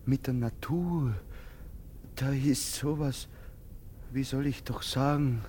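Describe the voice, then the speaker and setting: An actor playing a poor, brooding soldier in a German radio play, humble, haunted and halting in his speech. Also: mid-range voice, steady tone, darkish tone, a little hiss or hum, lightly acted. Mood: haunted, urgent. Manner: halting, earnest, deferential.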